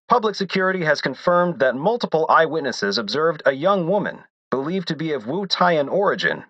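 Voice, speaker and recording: Bright, forceful formal news announcer. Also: male voice, on the high side, no room sound, lo-fi sound. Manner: formal, measured announcer delivery. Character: male news announcer reading official corporate bulletins. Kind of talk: scripted news broadcast.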